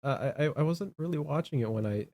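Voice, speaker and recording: A man co-hosting a casual political chat, speaking in a halting way and repeating his words. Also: on the high side, animated, a little mellow. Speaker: talk show co-host.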